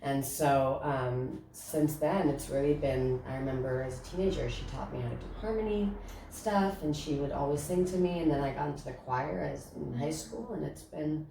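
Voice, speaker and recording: A woman's voice: low voice, normal speed, gravelly, dark tone. A young woman from Romania, a singer-songwriter, talking candidly as an interview guest with hesitant fillers. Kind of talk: casual interview. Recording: echoey room, distant mic, faint noise.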